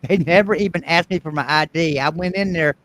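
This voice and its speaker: A man from Virginia, telling emphatic, animated stories with mild cursing in a casual live chat. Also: fairly high pitch, a little fast. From West Virginia.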